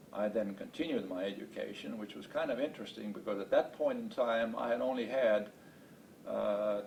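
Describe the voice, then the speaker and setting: A man in his late seventies from Estonia, a native Estonian speaker, recalling his life in an oral history interview in a halting, deliberate way with many hesitations. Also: gravelly, darkish tone. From Estonia, later Baltimore, USA. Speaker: interview guest, space program veteran. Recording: distant mic, noisy rumbling background.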